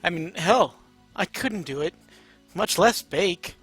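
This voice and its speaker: A man's voice: high-pitched, lively intonation, slow, fairly bright tone, breathy edge, faint music behind. A man narrating a story aloud in a casual, amateur, playful way. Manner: informal, playful.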